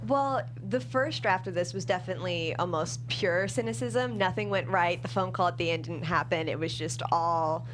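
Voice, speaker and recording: Low-pitched woman writer, chatting casually. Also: moderate pace, few pauses. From Kentucky, United States. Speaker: guest screenwriter. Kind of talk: casual interview chat. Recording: noisy room with hum.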